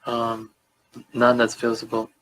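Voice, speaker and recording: A man in his twenties from Guyana, answering police questions with halting, subdued replies while upset and remorseful. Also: mid-range voice, monotone, a bit bright, rough recording. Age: 20s. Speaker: suspect being questioned. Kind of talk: police interview.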